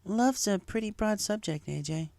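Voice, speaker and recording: A woman's voice: medium pitch, lively intonation, normal speed, gravelly, warm tone, dry recording, voice pushed up. A woman voicing a bookish, helpful mare pony who speaks thoughtfully and asks questions in a story. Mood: curious, concerned. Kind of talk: fan fiction character dialogue. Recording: music behind the voice.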